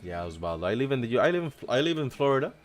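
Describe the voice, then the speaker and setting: A man's voice: medium pitch, big pitch swings, rapid-fire, soft background music. A man from the Dominican Republic chatting casually on a live stream, thanking viewers in a slangy, laid-back way. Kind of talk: casual live chat reacting to a video.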